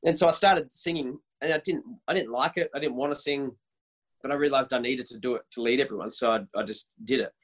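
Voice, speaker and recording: A man in his thirties with a higher voice, a worship leader talking casually and eagerly in an interview, often restarting his sentences. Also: fast talker. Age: 30s. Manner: enthusiastic, many restarts and repeated words.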